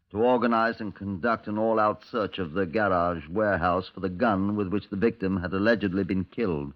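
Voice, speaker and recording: Male, lowish voice, playing a sarcastic police chief inspector, brusque and dry, dull vintage radio sound. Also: natural voice. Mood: dry narration. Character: brusque, sardonic Scotland Yard chief inspector. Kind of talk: 1950s radio crime drama acting. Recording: dull, lo-fi 1950s radio recording.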